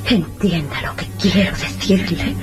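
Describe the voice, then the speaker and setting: A woman playing a frail, dying widowed mother, pleading slowly and tearfully in old-style radio serial melodrama. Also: on the low side, over music, lightly acted. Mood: earnest, resigned. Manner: slow, anguished, tearful pleading.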